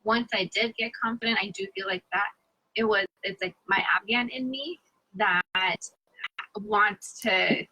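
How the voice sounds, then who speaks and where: A woman's voice: medium pitch, fairly even intonation, a little slow, bright, forceful tone, no room sound, dull audio. A woman on a discussion panel, speaking reflectively with some hesitant restarts. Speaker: panel member.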